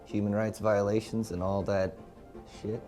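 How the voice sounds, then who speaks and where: A skeptical businessman played by an actor with a very mellow, dark voice. He is blunt and challenging in film drama style, with music faintly behind him. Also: on the low side, little pitch movement, a little slow, natural voice. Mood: skeptical, irritated. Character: blunt, skeptical, sweary corporate investor.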